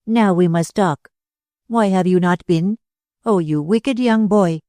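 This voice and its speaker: A woman's voice: on the low side, slow, mellow, dry recording, clean studio sound. A woman voicing a fiery, jealous Spanish dancer who speaks broken English with a Spanish accent and a tempestuous, dramatic manner. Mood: passionate.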